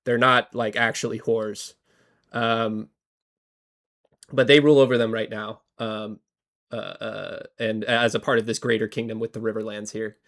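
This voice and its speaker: A man co-hosting a podcast, slightly hoarse from a cold, talking casually with lots of fillers and restarts. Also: on the low side, flat delivery, normal speed, fairly bright tone. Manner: rambling, many fillers and restarts. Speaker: podcast co-host. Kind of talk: casual history chat.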